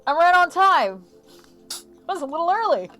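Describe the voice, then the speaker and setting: A woman's voice: very high voice, sing-song, moderate pace, a bit bright. A woman hosting a livestream in casual, rambling chat that often interrupts itself, with a slight lisp. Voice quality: slight lisp from a missing molar. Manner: rambling, chatty, self-interrupting. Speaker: streamer hosting an art livestream. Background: lo-fi music track.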